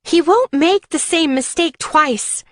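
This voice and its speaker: Woman playing a frank, loyal sister, unhurried. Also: high voice, no echo, clean studio sound, voice pushed up. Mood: reassuring, frank.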